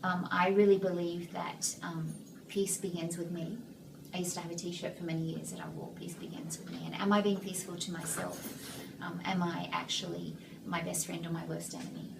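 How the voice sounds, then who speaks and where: An older Australian woman with a slightly low voice speaks gently and thoughtfully as a guest author. She sits away from the mic, so her voice comes across roomy and echoey. Steady noise hangs behind her. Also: brisk, gravelly, far from the mic. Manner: gentle, reflective, searching for words. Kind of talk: casual interview chat.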